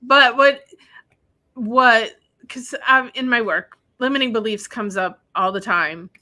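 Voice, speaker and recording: A woman from Wisconsin, a guest telling stories in a lively, animated way. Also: a little high, slow. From Wisconsin, USA. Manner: animated storyteller who acts out other people's lines. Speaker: guest, spiritual practitioner. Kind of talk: casual conversation.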